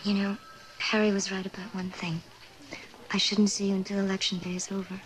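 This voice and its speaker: An actress playing an anxious, tender mistress in a crime drama, speaking in a hushed, intimate way. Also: female voice, medium pitch, level tone, a little fast, natural voice, poor audio. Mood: worried, tender. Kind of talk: television crime drama acting.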